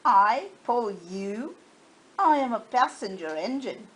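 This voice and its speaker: A woman's voice: a little high, lively intonation, slow pace. A woman voicing a proud, snooty male passenger train engine in a children's storybook, boastful and haughty. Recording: faint background noise.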